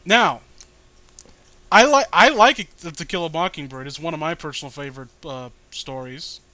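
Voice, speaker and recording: Man, high-pitched, sharp bright tone, casual comedic commentary, rambling, exasperated, sweary. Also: moderate pace, dry recording. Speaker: co-host of a comedic reading show. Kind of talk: casual comedic commentary while reading aloud.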